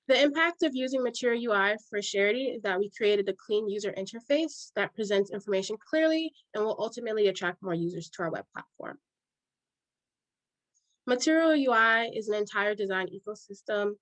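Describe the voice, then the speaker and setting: A young woman from New York reads her part of a team software demo in a steady, measured way. Her voice sits at a middle pitch and is recorded dry and close. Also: dry recording. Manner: steady, reads from a prepared script. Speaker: student presenter, scrum master. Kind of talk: scripted project presentation.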